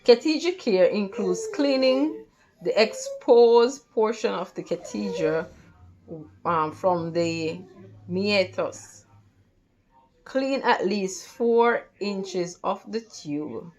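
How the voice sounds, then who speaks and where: A woman tutor reading study material aloud in a careful, halting way, with stumbles and repeated words. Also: medium pitch, animated, darkish tone, dull audio. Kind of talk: read-along lesson from a textbook.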